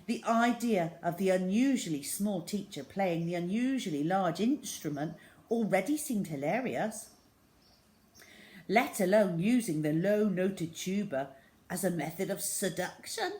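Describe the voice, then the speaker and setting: Very lively woman storyteller. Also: fairly low pitch, unhurried. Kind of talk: expressive children's story reading.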